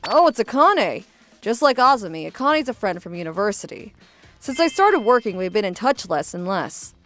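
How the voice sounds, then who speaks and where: A woman voicing a young office worker who narrates her own story in a manga dub, gentle and measured. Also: mid-range voice, sing-song, soft background music.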